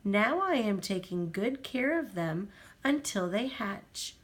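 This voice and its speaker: A woman reads a children's storybook aloud at a slow, unhurried pace. Her voice rises and falls a lot, sing-song and gentle, as she stops to point things out to a little listener at home. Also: mid-range voice, big pitch swings. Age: adult. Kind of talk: children's picture book read-aloud.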